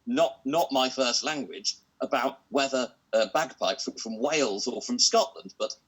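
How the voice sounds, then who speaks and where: Guy comedian on a video call, kinda husky, stumbles and repeats words. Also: medium pitch, normal speed, fairly bright tone, low-quality recording. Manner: stammering, repeats words when starting. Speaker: comedian guest. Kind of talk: anecdotal comedy chat.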